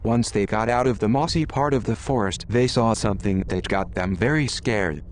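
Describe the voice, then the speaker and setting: A man narrating an adventure story aloud in an unhurried, deliberate way. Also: on the low side, background noise. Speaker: author reading own story.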